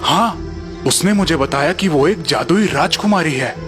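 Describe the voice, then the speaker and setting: A man voicing a poor, kindly fisherman husband in a children's cartoon, gentle and earnest. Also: on the high side, animated, a little slow. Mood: gentle, puzzled. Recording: reverberant echo under background music.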